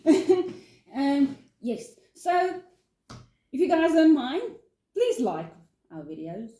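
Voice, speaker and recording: A high, lively voice from a young-sounding South African woman who isn't a native English speaker, giggling and bubbly as she co-hosts a casual vlog. Her tone is mellow and soft-edged, and the room around her sounds echoey. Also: slow. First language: not English. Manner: giggly, bubbly, with hesitant fillers. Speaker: vlog co-host. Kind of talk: casual chat.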